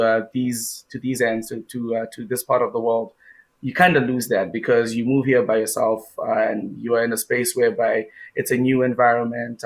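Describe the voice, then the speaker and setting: A man's voice: medium pitch, gravelly, rough recording. A young man from Zimbabwe, a guest in an interview, answering with many fillers and restarts.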